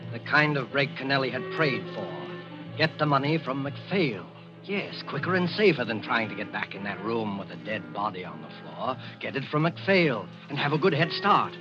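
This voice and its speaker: An actor playing an ominous narrator of a 1940s radio suspense play, speaking a schemer's thoughts in terse phrases. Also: male voice, mid-range voice, very animated, moderate pace, natural voice. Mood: ominous, urgent. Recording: muffled old radio broadcast recording. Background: dramatic music.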